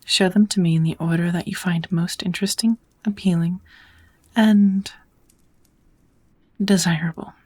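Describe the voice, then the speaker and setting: A woman voicing a kind, knowledgeable witch who gently teaches magic in a measured, whispered ASMR roleplay. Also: on the low side, steady tone. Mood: kind, patient. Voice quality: soft, breathy whispering.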